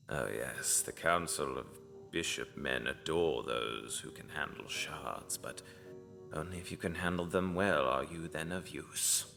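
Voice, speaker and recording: Very deep, gravelly underground tailor. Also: male voice, bright, forceful tone, exaggerated voice. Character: gruesome but apologetic underground tailor, cult member who stitches corpses. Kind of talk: audio drama acting.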